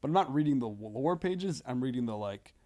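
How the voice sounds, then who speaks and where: A man's voice: mid-range voice, animated, a little fast, warm tone. A man chatting casually as a podcast guest, thinking aloud in a rambling, loosely sweary way.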